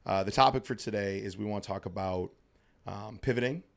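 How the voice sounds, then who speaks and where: A man co-hosting a podcast in casual chat, his voice scratchy and hoarse from allergies, upbeat and joking with lots of fillers. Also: fairly low pitch, a little fast. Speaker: podcast co-host. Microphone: studio microphone.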